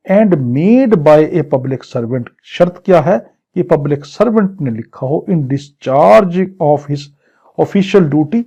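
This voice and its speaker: A man's voice: fairly high pitch, dark tone, husky, dull audio. A man with a Pakistani accent giving an exam-prep law lecture, explaining didactically with questions he answers himself and mixing Urdu with English legal terms. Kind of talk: exam-prep lecture, reading statute phrases and explaining them.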